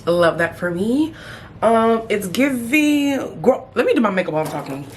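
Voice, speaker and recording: A woman in her thirties chatting casually as a beauty vlogger while doing her makeup, playful, with sudden high exclamations. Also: sing-song, moderate pace, darkish tone, a little hiss or hum. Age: 30s. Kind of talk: casual get-ready-with-me chat.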